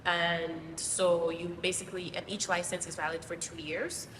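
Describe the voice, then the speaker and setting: A woman from Zimbabwe, a guest teacher, explaining things casually with many pauses and ums. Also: on the low side, little pitch movement. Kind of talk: casual interview answers. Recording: distant mic, roomy hall, noisy.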